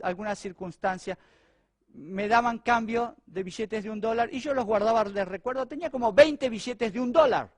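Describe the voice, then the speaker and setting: A middle-aged Argentine man, a pastor, telling a personal testimony during a sermon in a lively, conversational way. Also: high-pitched, no room sound, clean studio sound. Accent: Argentine Spanish. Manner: animated, conversational storytelling to a congregation.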